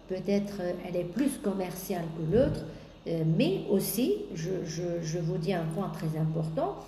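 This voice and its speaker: A woman business coach giving a sales coaching talk, pausing often on drawn-out fillers and listing points in repetition. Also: on the low side, mellow. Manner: hesitant, many drawn-out "euh" fillers, repetitive lists. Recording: echoey room with faint background noise.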